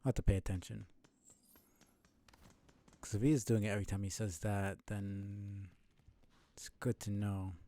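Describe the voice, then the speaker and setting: A man's voice: on the low side, level tone, normal speed, pauses often, dark tone. A man streaming a video game, thinking aloud in casual, rambling, analytical live commentary.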